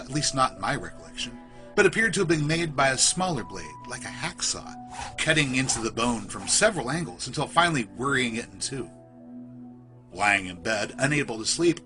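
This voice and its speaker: Animated man narrating a creepy first-person story. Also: mid-range voice. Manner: literary, measured, suspenseful storytelling.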